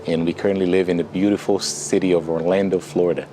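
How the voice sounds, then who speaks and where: A man's voice: low-pitched, flat delivery, quick pace, mellow, a little hiss or hum. A man in his thirties with a Brazilian accent, speaking English as a second language in an earnest, reflective personal testimonial. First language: Portuguese. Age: 30s. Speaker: author and entrepreneur promoting a book. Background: music.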